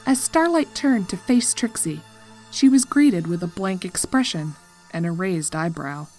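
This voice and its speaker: A woman's voice: lowish voice, animated, a little slow, darkish tone, faint music behind, rough recording. A woman narrating scripted horror fiction as a storyteller.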